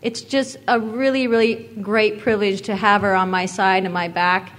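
A woman's voice: medium pitch, steady tone, moderate pace. A woman presiding over an award presentation, talking in an effusive, repetitive way at a podium microphone in a meeting hall. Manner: warm, effusive, repeats words.

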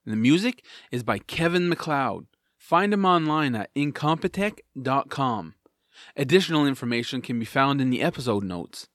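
Animated man introducing an audio drama. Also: medium pitch, normal speed, dry recording, studio quality. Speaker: host, audiobook narrator. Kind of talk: scripted narration, series introduction.

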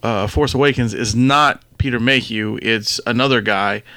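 Guy podcast cohost, bright punchy voice, super dry sound, swears casually while talking movies. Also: mid-range voice, moderate pace, no echo. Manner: informal, profane. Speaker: podcast co-host. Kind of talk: casual banter about movies.

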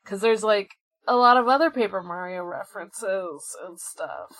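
A woman's voice: mid-range voice, normal speed, a bit bright, dry recording. A woman co-hosting a podcast, chatting casually in a rambling way full of filler "like"s. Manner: rambling, peppered with "like". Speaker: podcast co-host. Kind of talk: casual fan chat.

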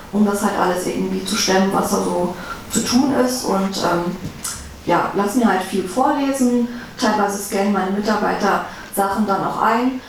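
A woman guest speaker talking about her own work as a defense lawyer, recorded in a reverberant room. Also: medium pitch. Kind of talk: personal talk to a live audience. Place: reverberant indoor event room. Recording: echoey room, distant mic, faint noise.

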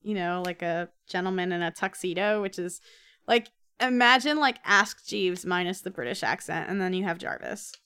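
A woman's voice: lowish voice, normal speed, pushed and bright, clear, resonant tone. A woman co-hosting a podcast, chatting casually through a comic book recap with playful, jokey asides. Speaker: podcast co-host.

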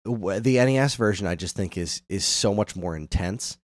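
Man, low voice, casual podcast host, enthusiastic commentary, close dry sound. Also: normal speed, no echo. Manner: enthusiastic, hums melodies to illustrate. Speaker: music discussion podcast host.

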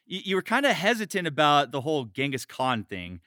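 A man's voice: a little high, big pitch swings, brisk, bright, forceful tone. A man hosting a podcast in an informal, sweary, casual chat. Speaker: podcast host.

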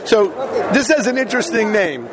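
A man hosting a radio show, chatting upbeat with quick quips while interviewing exhibitors at a trade show. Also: high voice, big pitch swings, crowd noise. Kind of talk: casual interview. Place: busy trade show floor. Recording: noisy convention hall.

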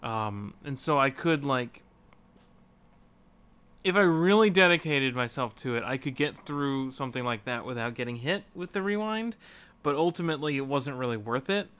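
A man co-hosting a podcast, casually discussing video games and griping in an animated way with casual swearing. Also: medium pitch, moderate pace, clean tone. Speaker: podcast co-host.

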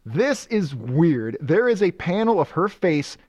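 Rambling, jokey man with lively pitch. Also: unhurried, dry recording, dull audio. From Michigan, USA. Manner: rambling, self-correcting, jokey. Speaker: podcast co-host. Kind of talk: casual comic chat.

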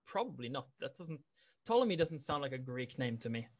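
A man's voice: medium pitch, big pitch swings, brisk. A man in casual conversation, rambling with lots of fillers and restarts, and laughing easily. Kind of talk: casual chat.

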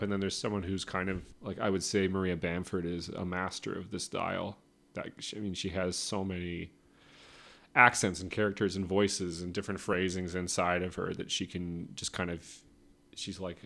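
Man, very low voice, gravelly fry, rambling, hedging, casual podcast guest. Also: fairly bright tone. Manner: rambling, hedging with many restarts. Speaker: comedian guest.

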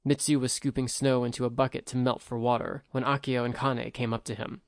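A man narrating a story in a steady, even voice at an ordinary mid pitch. The reading sounds dry and close, with no room around it. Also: brisk, no echo. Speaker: audiobook narrator. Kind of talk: scripted narration.

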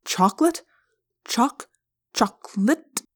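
A woman's voice: medium pitch, moderate pace, close to an everyday voice. A woman voicing a young male alien in human form, earnest and formal, who plays with the sounds of words in an audiobook. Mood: fascinated. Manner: formal, precise, toys with word sounds.

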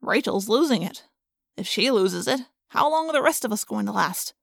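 A woman's voice: medium pitch, animated, moderate pace, vocal fry, dry recording, clean studio sound. A woman voicing a jumpy, stressed, wisecracking teenage boy in a storybook, with a blunt, cynical manner. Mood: resigned.

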